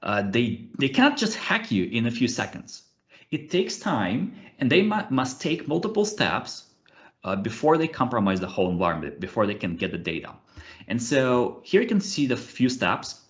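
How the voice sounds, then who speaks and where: A man in his thirties with a Russian accent, presenting a cybersecurity session over a video call. He hesitates often, uses many fillers and restarts, and keeps his delivery animated. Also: mid-range voice, normal speed, dull audio. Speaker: conference speaker, ethical hacker. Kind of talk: webinar presentation with live demos. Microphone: computer mic on a video call.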